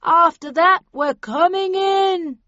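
A woman voicing a menacing militant attacker who calls loudly and slowly for surrender, as in an audiobook. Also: very high voice, no echo, highs cut off, pitched above the performer's own voice, exaggerated voice. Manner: loud, drawn-out calling.

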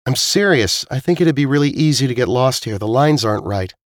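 A man voicing a sharp, earnest young woman in audiobook dialogue, explaining things insistently. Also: medium pitch, animated, brisk, dry recording, studio quality, voice pushed up, natural voice. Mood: earnest, concerned.

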